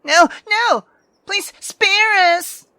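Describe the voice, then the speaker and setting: A man's voice: very high-pitched, drawn-out words, sharp tone, no room sound, voice pushed up, exaggerated voice. A man voicing a feisty, indignant young woman from a visual novel, sarcastic and dramatic. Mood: playful, mock dramatic. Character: feisty, sharp-tongued young woman venting her grievances. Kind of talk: visual novel character reading.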